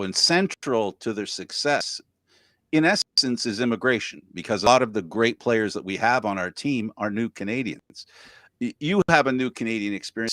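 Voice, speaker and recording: A man hosting an interview, asking measured, prompting questions. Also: lowish voice, a bit bright, no echo. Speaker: interview host.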